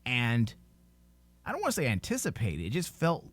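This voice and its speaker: A man's voice: mid-range voice, wildly swooping pitch, moderate pace, fairly bright tone. A man hosting a fan podcast in casual discussion, emphatically repeating phrases to make his point. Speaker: podcast host.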